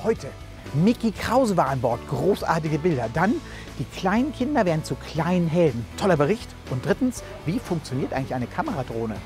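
A man's voice: on the high side, big pitch swings, moderate pace, music in the background. A man hosting a travel magazine, presenting to camera in an enthusiastic, chatty way.

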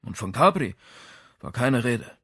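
A man's voice: mid-range voice, sing-song, a little slow, a bit bright, husky, no echo, exaggerated voice. A man reads as a big, weary music professor in a crime audiobook. He sounds irritable and defensive, and the recording is very dry. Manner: tired, irritable.

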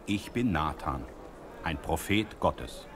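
An actor playing a solemn prophet who relays God's words in measured proclamation in an audio drama. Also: male voice, a bass voice, lively intonation, fairly bright tone, a little hiss or hum, full character voice. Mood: grave. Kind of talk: audio drama acting, declamatory.

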